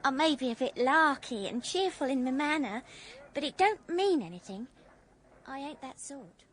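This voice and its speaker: An actress with a working-class English accent playing a sharp, larky young Edwardian woman in a radio drama, blunt and bantering. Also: sits high, lively intonation, normal speed, slight background noise. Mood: pert, defensive.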